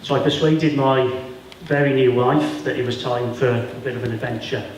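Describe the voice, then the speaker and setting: A man's voice: mid-range voice, steady tone, moderate pace, far from the mic, slight background noise. Welsh man giving a career talk in an echoey room. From Wales. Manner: plain, friendly, addresses pupils directly. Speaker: guest speaker. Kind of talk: career talk to school pupils. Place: school hall or classroom.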